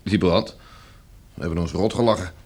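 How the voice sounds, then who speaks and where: A man's voice: deep voice, normal speed, a little mellow, slightly rough. A man in a radio drama playing a cheery, slangy working-class henchman guarding a captive with offhand ease. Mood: jaunty, amused.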